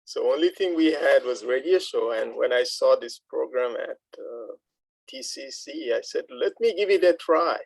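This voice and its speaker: A man speaking earnestly and haltingly, giving a heartfelt testimonial as a workshop participant. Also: medium pitch, lively intonation, vocal fry, dry recording, rough recording. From outside the United States. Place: online video call.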